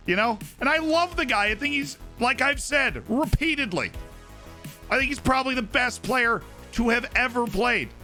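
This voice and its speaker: A man's voice: very high-pitched, bright, forceful tone. A young man streaming live, chatting casually and opinionatedly about football, with lots of fillers and asides.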